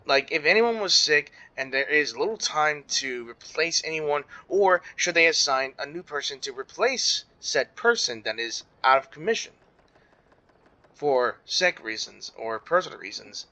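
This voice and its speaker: A man giving casual, rambling game commentary, halting and reacting as he goes. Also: on the high side, lively intonation, sharp tone, rough recording. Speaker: gaming video maker. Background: game music.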